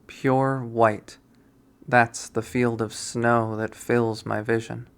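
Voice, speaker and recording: A man narrating a visual novel readthrough in a deliberate, storytelling manner. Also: medium pitch, flat delivery, smooth. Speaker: game streamer reading a visual novel aloud.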